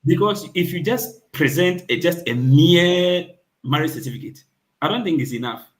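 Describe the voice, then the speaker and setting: A man's voice: on the high side, expressive, normal speed, low-quality recording. A man hosting a casual chat, jumping in with asides and quoting people's excuses. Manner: chatty, interjects with asides and quoted examples.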